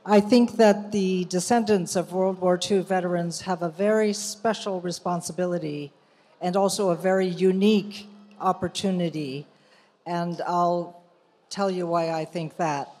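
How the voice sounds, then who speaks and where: A woman from the United States speaks at a commemorative event, unhurried and measured, with the odd filler and restart. Her voice carries a long reverb from the hall around her. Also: lowish voice, steady tone, mellow. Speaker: journalist and guest speaker. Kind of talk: prepared public talk.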